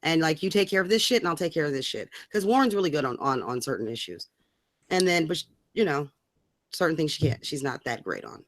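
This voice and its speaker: A woman's voice: low-pitched, sing-song, quick pace, no room sound. An American woman co-hosting a casual political chat, blunt, slangy and free with swearing. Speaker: podcast co-host.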